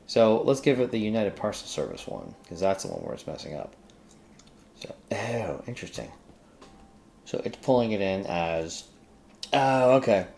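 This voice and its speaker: Male screencast host, low gravelly register, informal think-aloud delivery with self-directed muttering, light background noise. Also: big pitch swings, normal speed, pauses often, darkish tone. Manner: mutters to self while working. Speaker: screencast host working through a coding task. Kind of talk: casual think-aloud coding tutorial.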